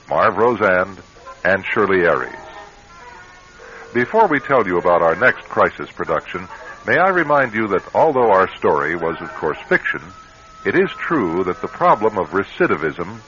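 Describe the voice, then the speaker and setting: Radio drama host: male, deep, bright and forceful, measured formal script reading, slightly muffled. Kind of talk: scripted narration. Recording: muffled old radio broadcast, faint music.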